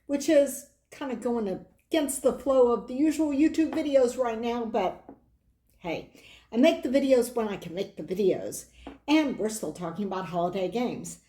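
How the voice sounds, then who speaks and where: A hoarse middle-aged woman hosting a board game channel, chatting casually in a rambling way with lots of side remarks. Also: a little high, dark tone. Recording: roomy echo.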